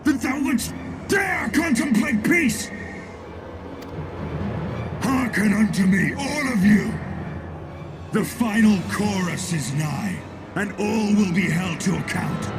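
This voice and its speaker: Vengeful ancient male dragon: raised pitch, slow grand declamation, video game with loud music. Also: animated, full character voice. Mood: wrathful, commanding. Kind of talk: theatrical video game acting.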